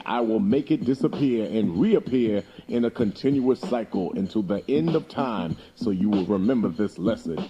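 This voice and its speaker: A man's voice: medium pitch, dull audio, lightly acted. A man voicing a solemn, benevolent creator god in a folktale.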